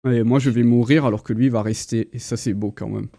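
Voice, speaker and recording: A man's voice: medium pitch, steady tone, a little fast, vocal fry, dark tone, dry recording, clean studio sound. A man, hosting a casual, slangy pop culture livestream chat with enthusiasm. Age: 30s. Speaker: host. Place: indoor livestream.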